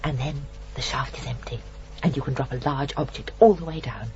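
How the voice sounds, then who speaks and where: An actress playing a genteel, chatty older British murderess in a radio drama, prim and sweetly matter-of-fact. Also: female voice, a bass voice, brisk, darkish tone, highs cut off, a little hiss or hum. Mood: brightly matter-of-fact.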